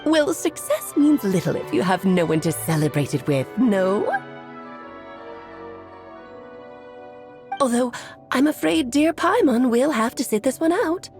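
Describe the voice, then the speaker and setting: A woman's voice: fairly high pitch, sing-song, moderate pace, music in the background. A woman voicing a playful, elegant, mysterious witch aunt in a video game, speaking in a coy, theatrical, genteel manner. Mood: coy teasing. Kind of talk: video game character acting.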